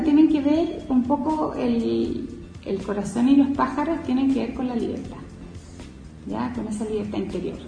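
Gravelly Chilean woman in echoey hall. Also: fairly high pitch, recorded from a distance. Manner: soft, reflective, many hesitant fillers. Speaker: painter. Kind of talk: explaining her own painting.

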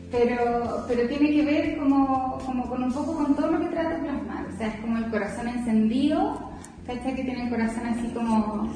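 Chilean woman painter, 40s, gravelly mellow voice, reflective, heard from afar in a roomy hall. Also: a little high. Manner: soft, reflective, many hesitant fillers. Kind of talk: explaining her own painting. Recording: echoey hall from far off.

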